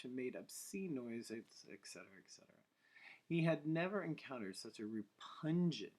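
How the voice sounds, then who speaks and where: Man telling a bedtime story, very animated pitch. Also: slightly rough, poor audio. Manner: playful, teasing asides to listeners. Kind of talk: bedtime story read aloud with casual asides.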